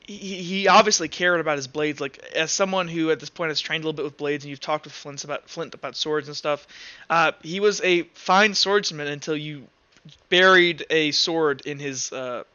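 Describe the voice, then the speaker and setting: A man running a tabletop game as game master, explaining casually and haltingly, rephrasing as he goes. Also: a little high, bright, forceful tone, clean tone, no room sound, lo-fi sound.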